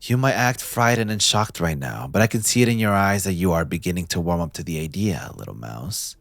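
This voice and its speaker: A man playing a possessive, smug young mafia heir in a scripted audio roleplay, speaking in a theatrical, condescending, deliberate villain's drawl. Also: deep voice, animated, vocal fry, a bit bright, no room sound, exaggerated voice. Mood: obsessive, confiding.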